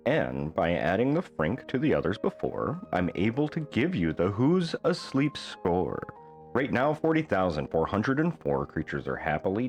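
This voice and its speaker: A man reading a rhyming children's bedtime book aloud as an expressive storyteller. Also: low-pitched.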